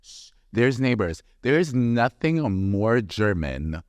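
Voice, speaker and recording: Playful, lively Swiss man. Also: mid-range voice, takes it slowly, dry recording. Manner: teasing, playful, theatrical quoting. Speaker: drag queen podcast co-host. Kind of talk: casual chat reviewing a show.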